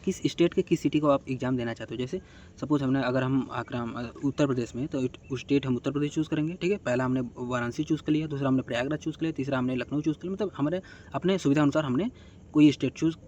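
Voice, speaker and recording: A man's voice: medium pitch, quick pace, a little mellow, muffled recording, slight background noise. A man giving casual, step-by-step instructions as a tutorial host, often adding short check-in tags.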